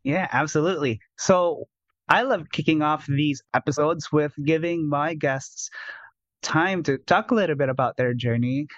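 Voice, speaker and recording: A man hosting a podcast as a life coach, reading a polished intro and then asking interview questions in a smooth, upbeat way. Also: on the high side, moderate pace, no room sound, lo-fi sound.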